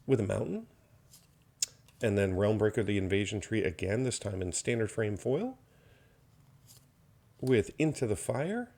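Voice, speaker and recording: Slightly hoarse male box opener, gravelly low voice, calm running card commentary. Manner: steady card-by-card listing, mild enthusiasm. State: slightly hoarse, recovering from illness. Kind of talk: unboxing commentary.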